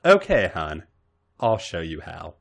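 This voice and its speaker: A man's voice: deep voice, huge pitch swings, takes it slowly, creaky, a bit bright, pitched below the performer's own voice, exaggerated voice. A man voicing a fond, worldly woman who mentors a younger friend, coaxing with pet names in a story reading. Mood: nervous, indulgent.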